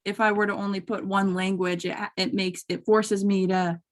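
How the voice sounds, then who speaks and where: A woman's voice: medium pitch, little pitch movement, moderate pace. A woman student asking a counselor a question during an online workshop, a little hesitant and saying "like" often. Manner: hesitant, with many likes. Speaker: student attendee. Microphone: video call.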